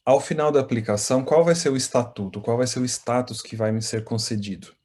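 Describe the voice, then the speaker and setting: A man from Brazil with a Brazilian Portuguese accent, presenting as a volunteer consultant at an online information session in a steady, explanatory way. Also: mid-range voice, a little fast. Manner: steady explanatory, frequent fillers.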